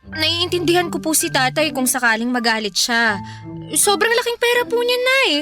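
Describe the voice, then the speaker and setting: A woman's voice: high voice, expressive, normal speed, over music. A woman playing an earnest teenage daughter in a radio drama, politely pleading and protesting. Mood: worried, pleading. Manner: pleading, polite but complaining.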